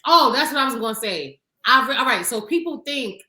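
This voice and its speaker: Deep-voiced Harlem woman, blunt and bright. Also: lively intonation, fairly quick, roomy sound. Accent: Harlem New York. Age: 30s. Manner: blunt, reflective, profane. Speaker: podcast co-host. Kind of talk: casual chat.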